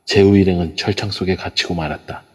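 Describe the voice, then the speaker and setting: Brief: steady male narrator, very low and husky, audio drama. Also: fast talker. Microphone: phone via a voice chat app. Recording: long reverb.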